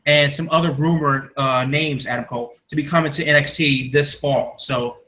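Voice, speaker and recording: Bright-voiced man hosting a wrestling podcast. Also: a little high, fairly even intonation, moderate pace. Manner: rambling, many fillers and restarts. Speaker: podcast host. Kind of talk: casual wrestling news chat.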